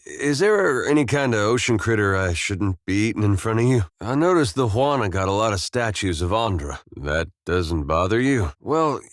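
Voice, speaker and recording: Low-voiced folksy farmer-soldier, played by a man. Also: lively intonation, moderate pace, hardly any pauses, no room sound, studio quality, natural voice. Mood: curious, wry. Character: easygoing young-middle-aged farmer-soldier, wry, folksy, weary. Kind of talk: video game companion dialogue.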